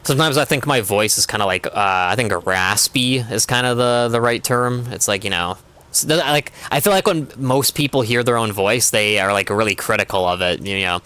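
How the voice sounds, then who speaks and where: A man's voice: on the low side, moderate pace, pushed and bright, smooth. A young man streaming a game, chatting casually in a rambling way with constant filler words. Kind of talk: casual chat while gaming.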